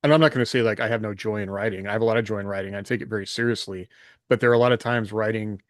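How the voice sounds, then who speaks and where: Male co-host, lowish voice, earnest and informal, recorded with no room echo. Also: steady tone, very fast, studio quality. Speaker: podcast co-host and writer. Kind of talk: casual chat.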